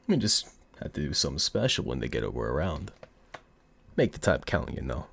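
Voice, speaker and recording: A man playing a cocky, flirty, smug mafia boss in an audio roleplay, with a put-on gangster accent and a teasing, drawn-out seductive delivery. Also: deep voice, vocal fry, dry recording, full character voice. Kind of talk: ASMR audio roleplay acting.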